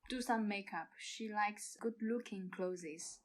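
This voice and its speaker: A woman answering casual interview questions as a guest, with hesitant, halting non-native phrasing. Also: medium pitch. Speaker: podcast guest, amateur audio play actor.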